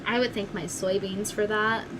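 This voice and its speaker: A woman's voice: medium pitch, steady tone, normal speed, a bit bright, slight background noise, low-quality recording. A woman hosting a call-in show, teasing and mocking her callers bluntly. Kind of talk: mocking comedic phone interview.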